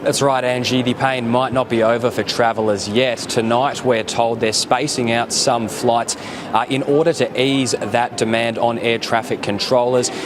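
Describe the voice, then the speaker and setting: A man's voice: mid-range voice, steady tone, moderate pace. An Australian man giving a live news report from outdoors at an airport. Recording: noisy outdoor live location, traffic.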